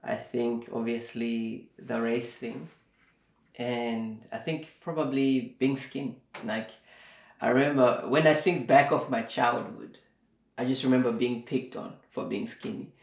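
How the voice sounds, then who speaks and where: Slow, reflective man in an echoey room. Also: mid-range voice, steady tone. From Zimbabwe. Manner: slow and reflective, with many fillers. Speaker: guest. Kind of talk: casual interview talk.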